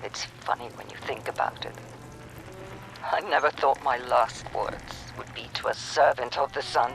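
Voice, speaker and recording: An actor playing a wry, resigned villain facing death in a video game, weary and gravelly. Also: male voice, medium pitch, normal speed, noisy background, lightly acted. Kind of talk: dramatic video game acting.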